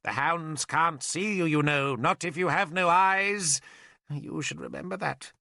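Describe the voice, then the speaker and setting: Old mad druid, storybook character, bright sharp voice, theatrical, rambling, indignant, very dry recording. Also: male voice, a little high, measured pace, vocal fry, dry recording, voice pushed up, heavily acted. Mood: mad, fearful. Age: older.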